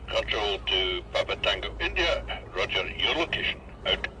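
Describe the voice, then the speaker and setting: An actor playing a clipped, formal police dispatcher heard over a radio in a crime drama. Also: male voice, on the low side, sing-song, normal speed. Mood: official. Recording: distant radio voice, very loud noise.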